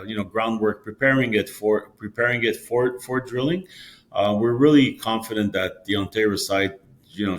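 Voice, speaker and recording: A man, a mining company executive, answers investor questions in a halting way, often repeating words and using fillers. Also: fairly low pitch.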